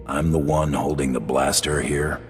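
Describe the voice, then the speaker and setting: An actor playing a tough, wary Imperial hero in a video game, gravelly, terse and cool. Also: male voice, a bass voice, little pitch movement, moderate pace, over music. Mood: cool, guarded. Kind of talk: video game cutscene acting.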